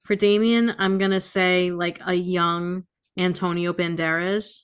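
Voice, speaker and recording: A woman's voice: lowish voice, level tone, unhurried, smooth. A woman co-hosting a podcast in casual chat, often hedging as she thinks aloud. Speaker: podcast co-host.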